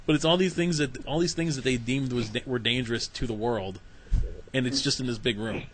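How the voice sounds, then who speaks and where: A man's voice: mid-range voice, a little hiss or hum, low-quality recording. A man hosting a podcast, talking in a loose, rambling way and laughing easily. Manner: chatty, rambling, quick laughs. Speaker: podcast host. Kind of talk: casual chat.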